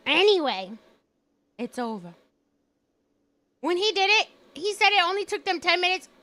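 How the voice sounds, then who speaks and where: A hoarse young woman chats on a live stream, her voice very high and bright. She tells an animated story, often laughing and acting out what people said. The sound is close and dry. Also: measured pace, long pauses, no room sound. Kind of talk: casual live-stream chat.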